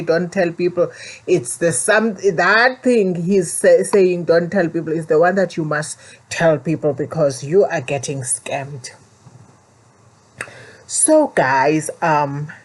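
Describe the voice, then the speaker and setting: A woman's voice: fairly low pitch, darkish tone, rough recording. A woman with a South African accent telling viewers her own story and warning them, earnest and preachy, often repeating herself. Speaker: vlogger.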